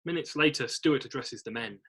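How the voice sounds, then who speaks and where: A man narrating a screenplay read-through, reading out scene headings and stage directions in a husky voice. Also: medium pitch, fairly quick, fairly bright tone.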